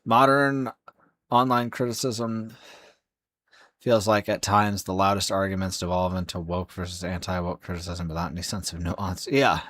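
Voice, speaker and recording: A man chatting casually on a live stream, rambling with many fillers and restarts. His voice is hoarse and sleepy. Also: sits low, little pitch movement, moderate pace, fairly bright tone.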